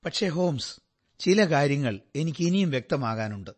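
A man's voice: on the high side, lively intonation, normal speed. An actor playing a detective's attentive male companion in a Malayalam radio drama, earnest and questioning. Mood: curious.

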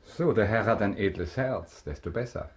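Low-voiced, courteous, enigmatic count played by a man. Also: close to an everyday voice. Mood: cool courtesy. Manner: formal, polished, measured courtesy. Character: wealthy, worldly count, courteous, enigmatic, secretly vengeful. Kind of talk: radio drama acting.

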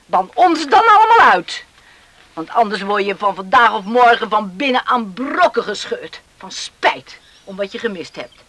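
A stern radio play mom, scolding, pitch swoops around, very dry sound. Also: female voice, fairly bright tone, dry recording. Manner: emphatic, scolding, repeats herself. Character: stern, bitter mother of a grown son.

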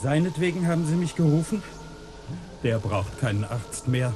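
A man's voice: a little high, animated, normal speed, noisy background, lightly acted. A man playing a dry, matter-of-fact doctor in a radio play. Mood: dry, discreet.

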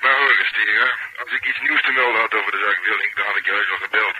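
A man's voice: on the low side, fast, bright, forceful tone, slight background noise, rough recording. An actor playing a sardonic, jealous policeman who needles over the telephone in a naturalistic Dutch radio drama.

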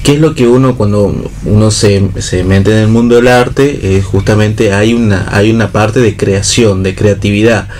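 Man, Argentine accent, slightly low voice, hesitant, reflective radio panelist, faint background noise. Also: fairly even intonation, moderate pace, hardly any pauses, a little mellow. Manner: hesitant, searching for words. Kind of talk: reflective casual discussion. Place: radio programme with background ambience.

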